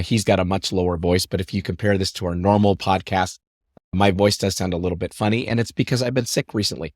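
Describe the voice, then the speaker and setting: A man hosting a podcast in a chatty, upbeat monologue full of rambling asides, his voice rough and lower than usual after a recent cough, speaking into a headset mic. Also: no room sound, studio quality. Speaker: podcast host.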